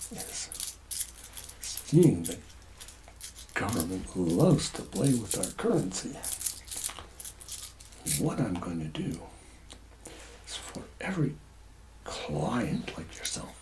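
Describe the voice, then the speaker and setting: A man doing a soft-spoken ASMR roleplay as a tax collector, hesitant and conspiratorial, often dropping into whispers. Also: fairly low pitch, very animated, slow, long pauses, noisy background.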